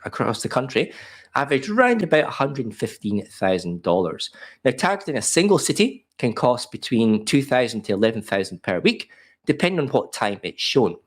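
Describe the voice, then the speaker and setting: An Australian man talks through a beginners' webinar while fighting a cold. His voice is croaky and slightly muffled. He keeps it chatty and informal, with frequent ums and small stumbles. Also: mid-range voice. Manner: chatty, self-deprecating, frequent ums and uhs. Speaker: webinar presenter.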